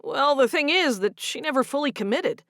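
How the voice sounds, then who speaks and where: A wounded, earnest young man, as a woman narrator puts him on, with a lively rise and fall in the voice and a fairly high tone. The storybook reading sounds dry and close, with no room around it. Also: normal speed, dry recording. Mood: uneasy. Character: hurt young man confronting a girlfriend.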